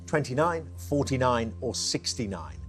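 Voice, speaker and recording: A man's voice: medium pitch, sing-song, moderate pace, faint music behind. A man hosting a TV quiz show, chatty and teasing as he reads the questions. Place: TV studio.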